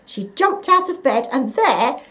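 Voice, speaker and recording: A woman reading a children's picture book aloud, with expressive, dramatic emphasis for young listeners. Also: high voice, unhurried. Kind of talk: expressive scripted story narration.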